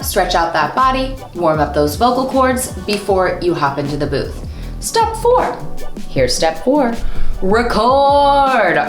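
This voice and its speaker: Lively woman host with a roomy echo. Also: a little high. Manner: animated, gestures a lot, talks straight to camera. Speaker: voice actor and host. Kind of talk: upbeat instructional vlog. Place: small room at home.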